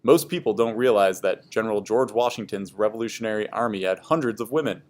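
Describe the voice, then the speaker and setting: A man's voice: fairly low pitch, fast talker, darkish tone. A man reading scripted educational history narration aloud for a class.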